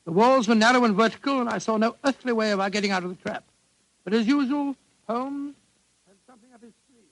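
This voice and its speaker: A creaky, high-pitched older British gentleman in vintage radio drama. He is fussy and blustery, a loyal companion given to grumbling. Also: quick pace, rough recording. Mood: genial storytelling. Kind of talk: 1940s radio drama acting.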